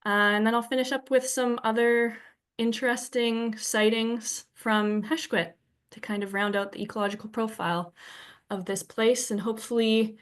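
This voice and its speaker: A woman's voice: fairly high pitch, flat delivery, normal speed. A young woman from Victoria, British Columbia, a little sick and sniffling, giving a friendly talk to a club audience from home, with many ums and restarts. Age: young adult. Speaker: guest presenter. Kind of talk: remote presentation talk. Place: home, presenting remotely.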